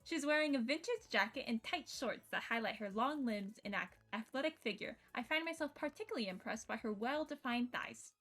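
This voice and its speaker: A woman's voice: a little high, lively intonation, brisk, hardly any pauses, fairly bright tone. A woman game streamer reading story narration aloud and slipping in casual reactions. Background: game music.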